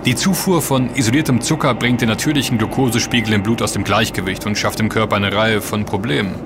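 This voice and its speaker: A man's voice: fairly low pitch, fairly even intonation, fast, over music, close to an everyday voice. An actor playing an earnest, knowledgeable man who explains and warns emphatically in a scripted audio drama. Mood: explaining. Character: earnest, knowledgeable man warning his friends.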